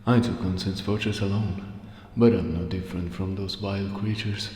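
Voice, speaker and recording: A man's voice: low-pitched, steady tone, normal speed, warm tone, close to an everyday voice. A man voicing a bitter, crestfallen undead merchant from a fantasy game, sardonic and grumbling in manner. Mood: bitter, despairing. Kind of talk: fan voice acting of game dialogue. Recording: echoey long reverb, faint noise.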